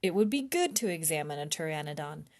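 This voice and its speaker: A woman reading a children's chapter book aloud in an expressive storytime style. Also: low-pitched. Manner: slow, clear, animated storybook delivery.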